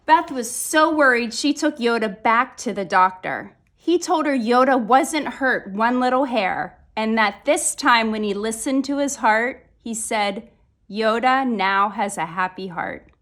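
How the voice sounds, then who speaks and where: A woman reading a children's picture book aloud, phrasing deliberately with dramatic emphasis. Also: on the high side, expressive, a bit bright. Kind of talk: animated children's story read-aloud.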